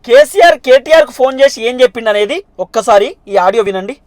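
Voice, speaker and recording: Very high-pitched, fast-talking Telangana man. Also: lively intonation, a little mellow, no echo, clean studio sound. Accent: Telangana Telugu. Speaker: news presenter and commentator. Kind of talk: informal political news commentary.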